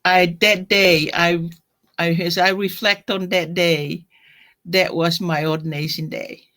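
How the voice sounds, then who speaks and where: An older woman from Tonga with a Tongan accent, telling her personal story in an interview in a reflective, halting way. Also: lowish voice, steady tone, fairly bright tone. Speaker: deacon, interview guest.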